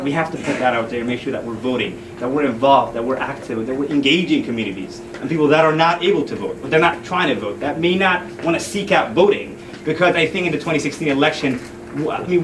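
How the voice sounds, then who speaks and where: Guy giving a casual political talk, kinda fast, echoey noisy room. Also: fairly high pitch, recorded from a distance. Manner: conversational, repeats phrases for emphasis. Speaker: community speaker and event host. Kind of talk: informal political speech.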